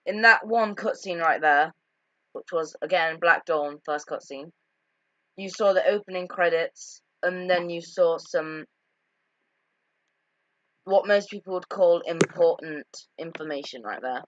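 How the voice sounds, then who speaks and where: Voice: medium pitch, measured pace, a bit bright, dry recording. A dry, slightly muffled home recording of a gamer with a mid-range voice. They give off-the-cuff commentary on game cutscenes, hesitating and correcting themselves in a self-deprecating way. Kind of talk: casual, unscripted game commentary. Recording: slightly dull lo-fi home mic.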